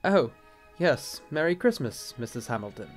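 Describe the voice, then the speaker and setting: A man's voice: mid-range voice, sing-song, moderate pace. A man plays a harried bishop in an audio drama. He sounds stiff, reserved and hesitant, a doubtful churchman, with music faintly underneath. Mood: uneasy, terse.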